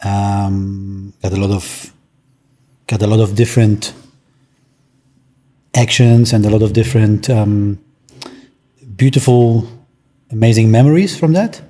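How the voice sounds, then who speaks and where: A man, an artist speaking in a casual interview, pausing often with ums and restarts. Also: lowish voice, warm tone. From Germany. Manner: hesitant, many ums and restarts. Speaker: artist guest.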